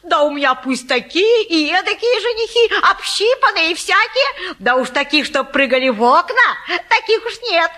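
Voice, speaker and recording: Really shrill mouthy village gossip type, folksy, mocking, classic stage comedy. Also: female voice, sing-song, normal speed, hardly any pauses, sharp tone, full character voice. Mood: gleeful mockery. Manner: folksy, exclamatory. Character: sharp-tongued, mocking common woman, brash and gossipy.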